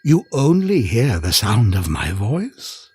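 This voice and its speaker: An actor playing a theatrical stage hypnotist in an audio drama, with a grandiose, commanding manner. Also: male voice, fairly low pitch, wildly swooping pitch, slow pace, darkish tone, slightly rough, dry recording, heavily acted. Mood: hushed, hypnotic.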